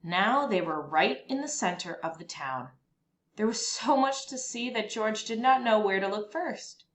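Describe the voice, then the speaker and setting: A woman reading a children's picture book aloud as a storyteller, with expressive, deliberate phrasing for young listeners. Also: mid-range voice. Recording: roomy echo, faint background noise.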